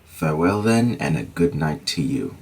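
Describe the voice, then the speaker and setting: A weary medieval lord mourning his lost family, voiced by an actor. He speaks slowly and formally in a deep, husky voice. It is an amateur audio drama. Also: sing-song, a little mellow, heavily acted, rough recording. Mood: somber. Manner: solemn, formal old-fashioned speech. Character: grieving Scottish nobleman Macduff, weary.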